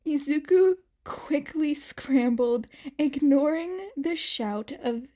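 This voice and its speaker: A woman reading a fanfiction audiobook aloud in a slow, soft, measured manner. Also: sits high, expressive. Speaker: podfic reader.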